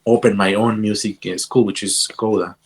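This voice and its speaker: A man from Guatemala with a Guatemalan Spanish accent tells stories casually as an interview guest, in a halting way, repeating words as he goes. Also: lowish voice, steady tone, slightly rough. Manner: halting, repeats words, peppered with "you know". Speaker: interview guest, guitarist and music teacher. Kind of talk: casual conversational storytelling.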